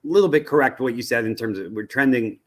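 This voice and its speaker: An American man giving explanatory interview answers as an expert guest, measured and often halting to rephrase himself. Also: mid-range voice. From United States. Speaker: interview guest, research company president.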